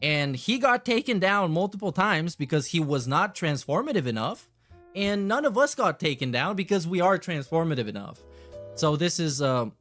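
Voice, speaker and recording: A man's voice: on the high side, fairly bright tone. A man hosting a reaction stream, reading messages aloud and commenting casually in a sardonic, dismissive, sweary way.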